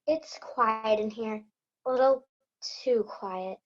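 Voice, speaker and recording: A woman playing a cautious young girl in a stage play, speaking hushed and worried. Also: a little high, takes it slowly, highs cut off.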